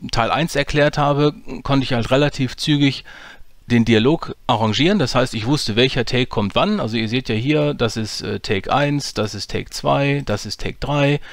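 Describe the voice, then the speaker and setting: A man hosting a screen-recorded software tutorial, explaining his editing steps casually with frequent hesitations. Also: medium pitch, no room sound. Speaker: audio drama producer and tutorial host.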